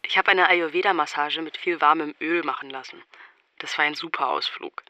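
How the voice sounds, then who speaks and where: A man giving a deadpan first-person monologue in a matter-of-fact, itemizing way. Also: fairly high pitch, a little fast. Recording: faint music bed underneath. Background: quiet music.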